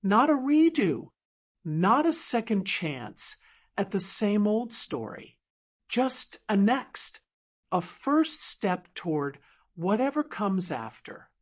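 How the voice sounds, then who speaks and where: A woman voicing an earnest, tender man, a gruff contractor in love, in an audiobook drama. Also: mid-range voice, sing-song, slow pace. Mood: tender, resolved.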